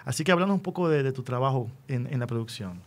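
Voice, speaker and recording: A man's voice: medium pitch, expressive, fast talker. A man with a Puerto Rican accent interviewing guests conversationally, prompting them and often seeking agreement with tag questions. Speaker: interviewer, radio school teacher.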